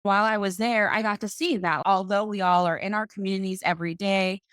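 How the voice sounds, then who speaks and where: A woman, a podcast guest telling her career story in a confident, deliberate way. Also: mid-range voice, fairly even intonation, fairly bright tone, clear, resonant tone, no room sound, clean studio sound. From Boston, US. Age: 30s. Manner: confident, deliberate, slows for emphasis. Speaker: podcast guest, financial coach. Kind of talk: interview storytelling.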